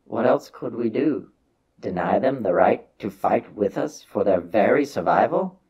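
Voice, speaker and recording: A man with a husky voice giving a solemn, measured, theatrical reading of a science fiction story as its narrator. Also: lowish voice, lively intonation, a little mellow, poor audio.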